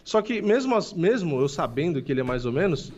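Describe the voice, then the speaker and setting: A man's voice: fairly high pitch, very animated, darkish tone, dull audio. A Brazilian man casually breaking down fights in a podcast chat, analytical and full of filler tags. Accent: Brazilian Portuguese. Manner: fast, analytical, lots of "né" and "tipo". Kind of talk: casual MMA discussion podcast.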